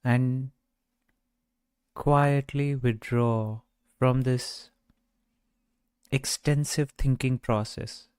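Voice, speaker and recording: A man guiding a meditation in a gentle, measured way, speaking off the cuff with long pauses. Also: mid-range voice, fairly even intonation, clear, resonant tone, no room sound. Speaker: meditation guide. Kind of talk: guided meditation, unscripted talk.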